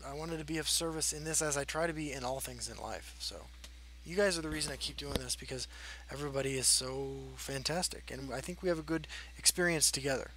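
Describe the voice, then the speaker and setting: Guy rambling thru viewer questions, lots of ums, bright voice. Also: fairly high pitch, fast, slight background noise, low-quality recording. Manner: rambling, frank, many fillers. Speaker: channel host. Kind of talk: casual Q&A chat.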